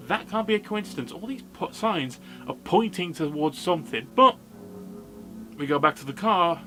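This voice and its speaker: Higher-voiced man, blunt film reviewer. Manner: blunt, sweary, informal. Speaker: film review video host. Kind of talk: casual scripted movie review commentary. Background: background music.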